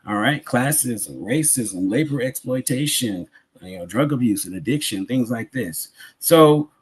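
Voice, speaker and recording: An American man delivers a sermon-style lecture in a rough-edged, husky voice. His intonation moves widely as he leans on key words. He keeps it conversational, repeating phrases and posing rhetorical questions to his listeners. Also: mid-range voice, expressive, measured pace.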